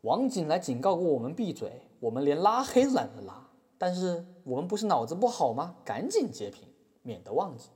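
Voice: medium pitch, big pitch swings, a little fast, darkish tone, lightly acted. A performer playing a flippant artist activist, mocking and playful, in a scripted audio drama. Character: cheeky, flippant artist activist taunting a company boss.

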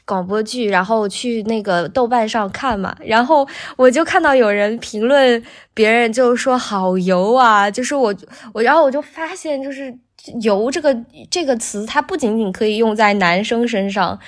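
A woman with a fairly high voice talks casually as a podcast guest. She works out her points aloud, circling back and repeating phrases as she explains. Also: moderate pace, few pauses. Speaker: guest voice actor.

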